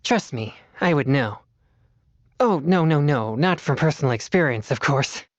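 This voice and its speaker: A man voicing an earnest, disciplined teenage boy exorcist in a video game, speaking in a formal, measured and slightly bashful way. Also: a little high, animated, dry recording, muffled recording, close to an everyday voice. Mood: flustered. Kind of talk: video game character voice lines.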